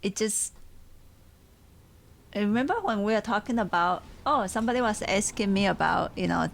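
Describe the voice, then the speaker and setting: A woman's voice: mid-range voice, moderate pace, gravelly. A woman with a Taiwanese accent chatting casually and reflectively as a podcast host, searching for her words. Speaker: podcast host and tea seller.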